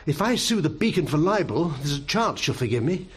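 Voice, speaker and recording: An actor playing a flustered, indignant English barrister in a radio comedy drama, stammering and plaintive. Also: male voice, on the high side, big pitch swings, brisk, breathy edge. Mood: glum, aggrieved. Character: flustered, indignant male barrister, anxious and hapless.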